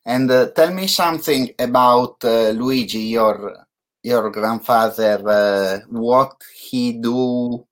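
Slow, halting man. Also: medium pitch, fairly bright tone. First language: Italian. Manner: halting, hesitant English with many fillers. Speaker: host. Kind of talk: casual interview.

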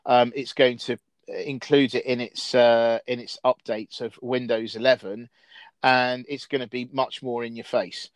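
A man hosting a casual chat, with a voice of ordinary pitch. He rambles through his points, restarting sentences and filling gaps with ums and "you know". The sound is dry and close. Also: fairly even intonation, unhurried, dry recording. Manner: rambling, many restarts and fillers. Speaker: host. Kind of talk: casual discussion.

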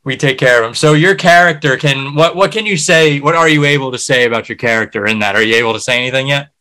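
A male podcast host with a bright, forward tone. He chats in an easygoing, friendly manner, tossing questions to his guest. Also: medium pitch, fast talker. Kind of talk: casual podcast chat.

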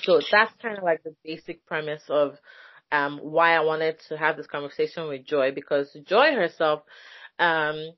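A woman with a low, deep speaking voice hosts a relaxed conversation, talking in a chatty way and pausing often with fillers. The sound is dry and a little dull. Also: dry recording. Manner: chatty, hesitant with many fillers. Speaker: podcast host. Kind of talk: casual conversation.

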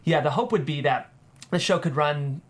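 A man's voice: fairly high pitch, lively intonation, normal speed. A man hosting a casual panel discussion, chatty and conversational, often saying "like". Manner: chatty, frequent "like". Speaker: host and screenwriter.

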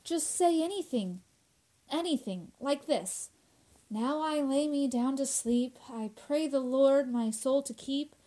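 A woman's voice: high voice, slow, mellow. A woman playing a frightened, fragile young woman in a radio horror drama, anxious and devoted, pleading breathlessly and repeating herself. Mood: hushed praying.

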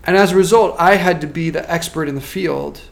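A man working as a marketing consultant, giving a measured, thoughtful interview answer with frequent restarts. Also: on the high side, gravelly. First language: not French.

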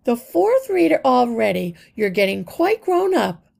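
A woman voicing a friendly young male rat captain in a storybook, kind and gently teasing. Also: on the high side, big pitch swings, a little slow, vocal fry, pitched above the performer's own voice, heavily acted.

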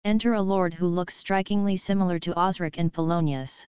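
A woman's voice: on the low side, steady tone, a little fast, darkish tone, clear, resonant tone, dry recording, highs cut off. A woman reading stage directions as the scripted narrator of an audioplay.

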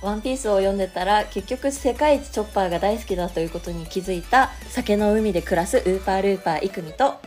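A woman's voice: mid-range voice, fast, few pauses, darkish tone, soft background music. A woman of around thirty co-hosting a podcast in casual comedic banter, reacting expressively and often giggling. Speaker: podcast co-host.

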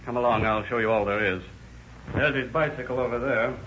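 An actor playing a businesslike American police officer in a 1930s radio crime drama. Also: male voice, medium pitch, fairly even intonation, a little fast, fairly bright tone, natural voice. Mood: grim, businesslike. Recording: very noisy, dull old radio recording.

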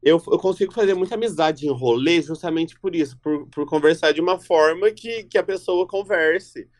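A young man co-hosting a casual chat, talking in an expressive, chatty way full of slangy fillers. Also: a little high, moderate pace.

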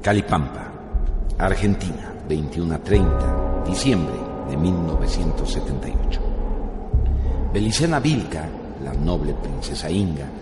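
A man giving solemn, measured scripted narration for a radio drama. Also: low voice.

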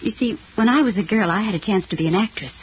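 A woman plays a poor but proud mother in 1940s radio drama style. She speaks in an earnest, tender, determined way at a middling pitch. The broadcast is dull and faintly hissy. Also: quick pace, lightly acted.

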